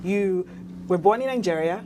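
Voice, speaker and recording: A woman's voice: lowish voice, moderate pace, noisy background. A woman co-hosting an interview who asks her questions with enthusiasm. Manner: enthusiastic and upbeat. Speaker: co-host.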